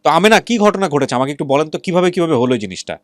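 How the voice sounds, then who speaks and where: A man's voice: a little high, expressive, racing, no room sound, studio quality. A man with a Bangladeshi accent presenting and interviewing conversationally as a radio show host, mixing in English words and probing with questions. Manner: probing, asks follow-up questions; mixes English words into speech.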